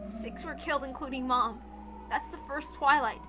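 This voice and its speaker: A woman's voice: sits high, normal speed, over music, lightly acted. A woman voicing a brave, resigned dying teenage girl in a visual novel, earnest and solemn. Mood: solemn, fading.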